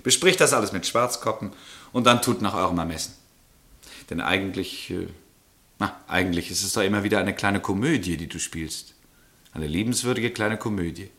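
A lowish-voiced, charming aristocratic husband. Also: male voice, normal speed. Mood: teasing, amused. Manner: teasing, genteel, gently ironic. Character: easygoing, evasive aristocratic husband, charming and indecisive. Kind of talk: German radio drama acting.

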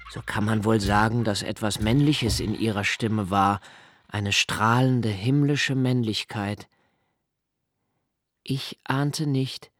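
An actor playing a young nobleman who narrates a literary radio play in a slow, hushed, reflective way. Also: mid-range voice, no echo. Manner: slow, hushed and reflective, dropping to near whispers. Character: young nobleman Malte, brooding poetic narrator.

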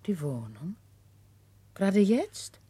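An actress playing a devoted, deferential housekeeper in a German radio drama, respectful and questioning. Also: female voice, medium pitch, big pitch swings, takes it slowly, warm tone, breathy edge, no room sound, exaggerated voice. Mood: attentive, surprised.

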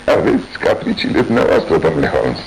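An actor playing an eager police inspector who readily agrees and admires the detective, in a radio drama. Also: male voice, extremely low pitch, big pitch swings, gravelly, a little hiss or hum, exaggerated voice, low-quality recording. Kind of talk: 1980s radio drama acting.

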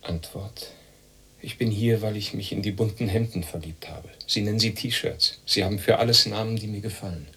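A man's voice: lowish voice, steady tone, normal speed, pushed and bright, breathy edge, heavily acted. An actor playing a wry, disillusioned East German man who quizzes himself tersely in a radio drama. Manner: clipped, terse. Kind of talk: clipped question-and-answer monologue in radio drama.

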